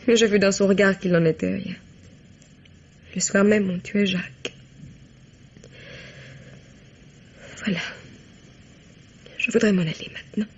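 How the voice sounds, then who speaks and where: An actress playing a sorrowful but composed young woman under police questioning in a radio mystery, recalling things in a measured, wistful way. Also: medium pitch, fairly even intonation, slight background noise, close to an everyday voice. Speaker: actress in a radio crime drama. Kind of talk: French radio mystery acting. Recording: muffled old radio recording.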